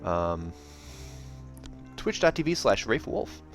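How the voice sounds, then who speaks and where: Low-voiced man reading a novel aloud. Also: big pitch swings, takes it slowly. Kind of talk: audiobook-style narration with casual asides. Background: background music.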